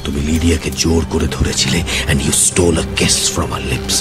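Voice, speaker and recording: A man playing a cold, relentless tormentor in an audio drama, accusing and taunting with deliberate, insistent delivery. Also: extremely low pitch, fairly even intonation, over music. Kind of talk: audio drama acting, Bengali mixed with English.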